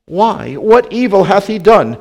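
Man playing an insistent, loud Roman governor. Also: a little high, expressive, a little slow. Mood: insistent, questioning. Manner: loud, emphatic questioning in archaic English.

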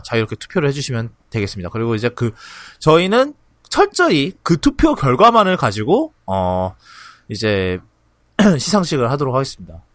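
A man hosting a podcast in a casual, halting way, often clearing his throat between phrases. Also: medium pitch, no room sound, studio quality. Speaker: podcast host. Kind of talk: casual podcast outro and announcements.

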